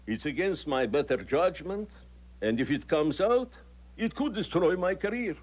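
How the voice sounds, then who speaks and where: Stern excellency, animated, formal, menacing delivery, muffled seventies radio drama recording. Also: male voice, fairly high pitch, normal speed. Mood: stern, grudging. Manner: formal, imperious. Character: stern Russian official, grudgingly lenient, threatening. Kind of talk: 1970s radio drama acting.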